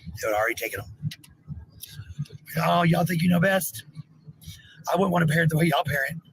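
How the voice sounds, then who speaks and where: A woman with a gravelly, creaky voice, defiant and swearing freely in a heated livestream rant. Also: deep voice, expressive, a little fast, slight background noise, rough recording. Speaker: parent.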